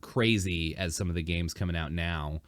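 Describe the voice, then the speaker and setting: A man hosting a casual podcast, rambling with fillers and restarts, his throat dry and a bit hoarse. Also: deep voice, fairly even intonation, moderate pace, dry recording. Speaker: podcast host.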